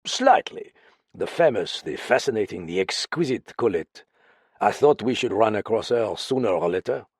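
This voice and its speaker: A male reader plays a suave storybook detective. The delivery is measured and teasing, with wry pauses, a husky grain and lively swings in pitch. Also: dry recording, clean studio sound. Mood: wry, savoring. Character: suave male detective, soothing, slyly amused.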